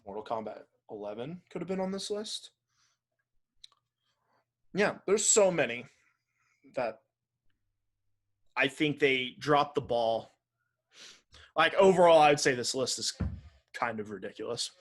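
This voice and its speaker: A man's voice: mid-range voice, lively intonation, unhurried, long pauses. A man co-hosting a video game podcast, chatting casually in a blunt, opinionated way. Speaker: podcast host.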